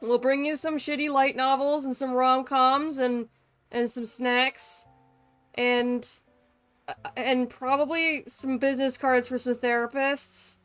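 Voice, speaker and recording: A woman's voice: sits high, flat delivery, slow pace, fairly bright tone, clean tone, faint music behind. A woman giving casual, sweary, blunt and joking live commentary as a game streamer.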